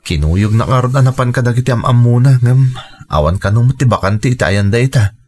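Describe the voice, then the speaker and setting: A man acting a worried family father in an Ilocano radio drama, with an expressive, sighing delivery and a husky voice. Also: medium pitch, a little fast, soft background music, close to an everyday voice. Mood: troubled.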